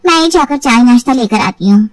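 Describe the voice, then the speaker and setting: A woman plays a taunting sister-in-law in a cartoon family story. Her voice is high and complaining, full of theatrical sarcasm. It is dry, with the microphone a little way off. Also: lively intonation, fairly quick, no room sound, distant mic, lightly acted. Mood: sneering complaint. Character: spiteful, whining married younger sister-in-law, forever taunting. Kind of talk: acted Hindi moral story for cartoon.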